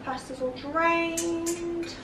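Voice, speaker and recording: A woman in her twenties chatting excitedly to camera in a casual home cooking vlog, full of fillers. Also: extremely high pitch, drawn-out words, heavy background noise. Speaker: vlogger. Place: home kitchen and living room, indoors.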